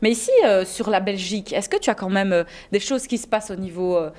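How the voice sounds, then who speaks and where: A woman's voice: medium pitch, quick pace, darkish tone. Upbeat woman hosting an interview. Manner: upbeat, encouraging, frequent fillers and short reactions. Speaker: interview host.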